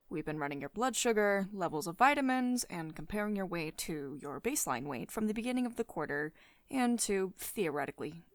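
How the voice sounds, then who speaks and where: A woman playing a poised, teasing, mildly dominant company boss in an intimate ASMR roleplay, speaking in a measured, authoritative and condescendingly affectionate manner. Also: on the low side, no echo, lightly acted. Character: poised, teasing, mildly dominant female CEO of tech firm. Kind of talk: ASMR roleplay, intimate one-sided dialogue. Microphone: close studio-style microphone, dry voice-over.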